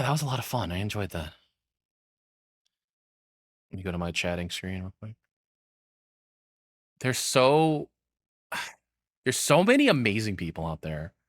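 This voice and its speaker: Male streamer, low dry voice, loose rambling reaction chat with casual cursing. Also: a bit bright, dry recording. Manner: rambling, self-deprecating, swears casually.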